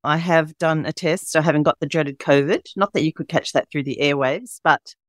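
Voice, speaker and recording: An Australian woman hosting a podcast in a casual, chatty way, her voice croaky and hoarse, with rambling upbeat asides. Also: low voice, normal speed, dry recording, studio quality. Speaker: podcast host.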